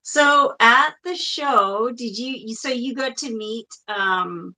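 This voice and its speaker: A woman in her forties hosting a casual chat, bubbly and gushing with quick asides. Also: fairly high pitch, sharp tone. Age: 40s. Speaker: host.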